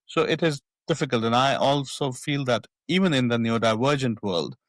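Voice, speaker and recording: A middle-aged man with a Pakistani accent speaks reflectively as an interview guest, circling back and repeating words as he thinks. His voice sits at an ordinary pitch and sounds dry and close. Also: lively intonation, fairly quick, no echo. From northwest Pakistan. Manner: thoughtful, frequent repetitions and restarts. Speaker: psychiatrist guest.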